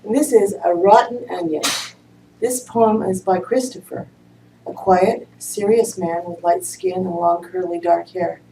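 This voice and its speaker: A woman's voice: lowish voice, measured pace, gravelly, mellow. A woman introducing and reading a poem aloud at a church service. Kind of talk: poetry reading. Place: church room, recorded from a distance. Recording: roomy, distant mic, faint noise.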